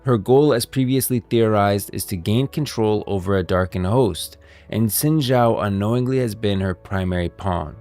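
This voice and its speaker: Male streamer, lowish pitch, gravelly fry, game lore commentary, close mic, faint music behind. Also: moderate pace, darkish tone. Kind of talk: lore commentary, part scripted, part off the cuff.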